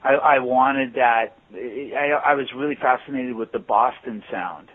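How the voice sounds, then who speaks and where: A man's voice: medium pitch, measured pace. A man, a guitarist and singer in a band, answering interview questions at length with many restarts. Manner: thoughtful, rambling with restarts. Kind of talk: phone interview. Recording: tinny phone line.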